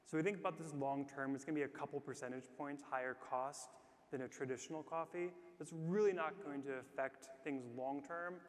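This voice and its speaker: A man answering questions as a startup founder after his pitch on a theatre stage. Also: fairly even intonation, a little fast. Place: large theatre stage before live audience. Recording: long reverberant theatre hall.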